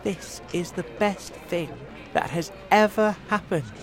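A man voicing a friendly, excited small boy in a storybook, eager and reassuring. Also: high-pitched, takes it slowly, crowd noise, pitched above the performer's own voice, heavily acted. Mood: happy wonder.

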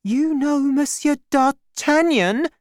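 A woman reading aloud and voicing a gentle, trusting young woman who talks in an eager, breathless way. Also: mid-range voice, expressive, slow, no room sound, clean studio sound, voice pushed up. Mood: excited.

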